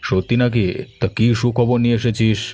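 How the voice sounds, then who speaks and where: A cartoon village man played by a male actor who talks very quickly in a mid-range voice. His delivery is emphatic, with faint music behind it. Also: mid-range voice, fairly even intonation, warm tone, close to an everyday voice. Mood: pleased. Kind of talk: children's cartoon dialogue.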